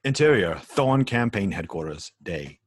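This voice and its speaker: A man narrates a screenplay, reading out scene headings and stage directions. His voice is very low and husky, captured close and dry. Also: very animated, a little slow, dry recording. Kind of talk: scripted narration at a table read.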